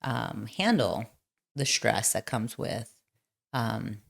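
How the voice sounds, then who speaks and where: A middle-aged woman hosting a casual interview, talking in an expressive, emphatic way and swooping up when she gets excited. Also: very deep voice, takes it slowly, creaky. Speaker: host and law firm owner.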